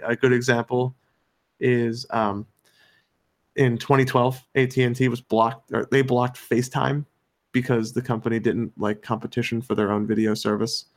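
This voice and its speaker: An American man co-hosting a podcast, giving his opinions in an earnest, somewhat halting explanatory way. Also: medium pitch. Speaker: podcast co-host.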